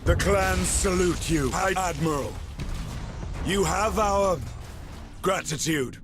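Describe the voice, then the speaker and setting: A man's voice: high-pitched, takes it slowly, music in the background. A man playing a proud, stern superhuman warrior captain in a video game, curt, formal and commanding. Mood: stern pride. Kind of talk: video game cutscene acting.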